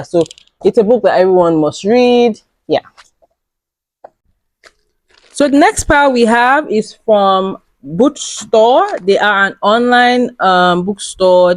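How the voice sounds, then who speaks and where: A young woman with a raspy voice, chatting casually to camera about books she bought, rambling with fillers. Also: lowish voice, no room sound. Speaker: book vlogger.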